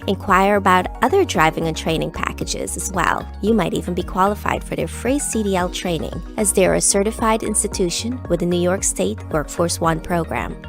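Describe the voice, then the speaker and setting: A woman's voice: lowish voice, fairly quick, creaky, hardly any pauses. A woman reading a scripted promo with emphatic delivery. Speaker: ad voice-over. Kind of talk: scripted ad read. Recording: music bed behind voice.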